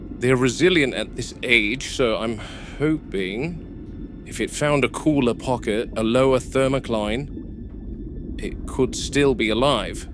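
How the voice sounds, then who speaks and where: A man playing a weathered game warden who speaks tersely, quiet and subdued when upset. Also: medium pitch, natural voice. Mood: grim. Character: weathered male park game warden, grim, practical. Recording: noisy, with crickets and insects behind.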